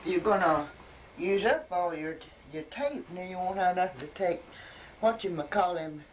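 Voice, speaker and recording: A woman's voice: lowish voice, animated, moderate pace. A woman in her seventies chatting casually with family in a folksy, joking, teasing way. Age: 70s. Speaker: grandmother being filmed at home. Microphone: camcorder microphone across the room. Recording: noisy amateur home video.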